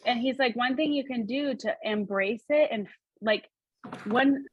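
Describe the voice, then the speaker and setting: A woman working as a psychic medium, relaying messages in a halting, repetitive, animated way. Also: fairly high pitch, dull audio. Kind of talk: spoken reading, relaying messages in quotes.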